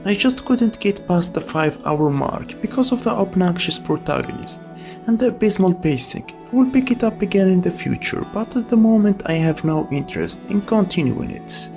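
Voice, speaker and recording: Gravelly man narrating with lively pitch. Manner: opinionated, reviewing games. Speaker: video essay narrator. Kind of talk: scripted commentary narration.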